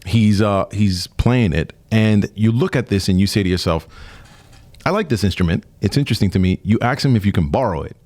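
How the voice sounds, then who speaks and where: A man's voice: on the low side, fairly quick, darkish tone. A man from Brooklyn hosting a casual radio interview, teasing his guest and laughing often. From Brooklyn, New York.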